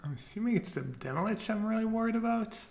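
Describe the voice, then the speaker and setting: A man's voice: high-pitched, expressive, fast talker, creaky, darkish tone, echoey room. A man from France, casually commenting on his card game for a live stream, thinking aloud and talking to his chat.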